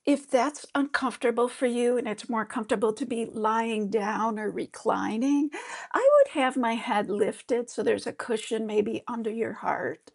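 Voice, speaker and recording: A woman with a high voice, a middle-aged yoga therapist gently guiding a mantra meditation lesson with easy, encouraging talk. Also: fairly even intonation, normal speed, gravelly. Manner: gentle, encouraging, with fillers and restarts.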